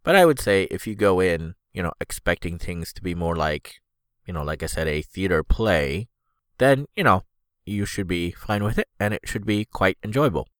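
Deep voiced guy with a head cold, just rambling on his podcast, lots of "you know", dead dry sound. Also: animated, moderate pace, dry recording. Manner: rambling, filler-heavy, easygoing. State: congested. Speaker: podcast host. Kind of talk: casual solo podcast talk.

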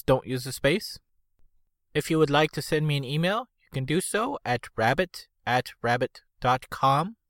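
A man reading a scripted show outro in a slow, deliberate way, carefully spelling things out. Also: medium pitch, a bit bright, dry recording. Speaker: show announcer.

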